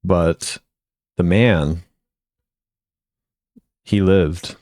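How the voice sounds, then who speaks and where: A man's voice: sits low, steady tone, extremely slow, a little mellow, no room sound, clean studio sound. A man hosting a video, giving casual commentary as he ranks game voice lines.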